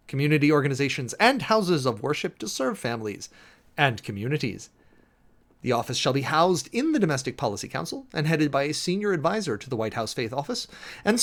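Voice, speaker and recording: Male reader, slightly high-pitched and highly animated, theatrical and emphatic delivery of formal text. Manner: theatrical, emphatic, spells out punctuation and section headings. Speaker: streamer and host reading a document aloud. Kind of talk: dramatic reading of a legal document.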